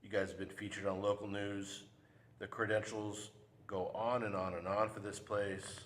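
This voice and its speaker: A man hosting a local interview show, talking in a relaxed, conversational way. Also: lowish voice, moderate pace, long reverb tail. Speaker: show host. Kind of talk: casual interview. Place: local TV studio.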